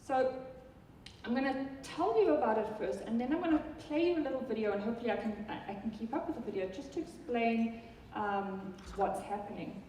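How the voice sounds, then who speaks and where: A woman's voice: fairly high pitch, brisk, mellow, a little hiss or hum. A woman from South Africa with a South African accent, giving a chatty live product talk to an audience, with many ums and restarts. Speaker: software product presenter. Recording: echoey hall from far off.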